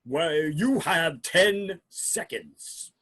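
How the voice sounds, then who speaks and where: A man playing a cold, imperious alien warlord with a husky, sardonic delivery in a script read. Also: a little high, lively intonation, fairly bright tone, exaggerated voice. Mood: cold menace. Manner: deliberate, sardonic villain delivery. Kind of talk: screenplay table read acting.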